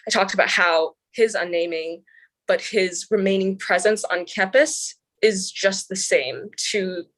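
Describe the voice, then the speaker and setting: A woman's voice: mid-range voice, measured pace, fairly bright tone, low-quality recording. A young woman from the San Francisco Bay Area, a student senator, giving earnest, articulate interview answers with frequent "um" fillers. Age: young adult.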